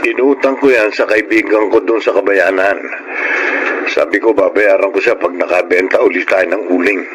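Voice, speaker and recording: A man's voice: low-pitched, fairly even intonation, moderate pace, close to an everyday voice. A man with a Filipino accent playing a hard-pressed, practical working father in a radio drama. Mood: burdened, firm. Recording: noisy radio drama recording.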